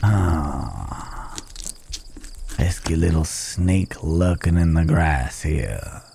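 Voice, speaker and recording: A man playing a low, unhurried cowboy drifter. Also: expressive, vocal fry, dark tone, a little hiss or hum, heavily acted. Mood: focused, asking. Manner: folksy, rambling, murmured asides to horse and companion. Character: gruff, wry, caring cowboy stranger, adult man. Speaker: ASMR roleplay voice actor. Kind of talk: ASMR Wild West roleplay.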